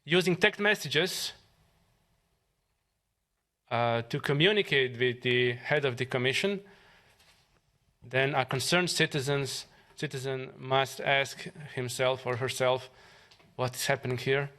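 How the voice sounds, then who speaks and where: A man from Croatia with a Croatian accent, a member of parliament giving a press conference statement haltingly, with fillers and restarts. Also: mid-range voice, pushed and bright.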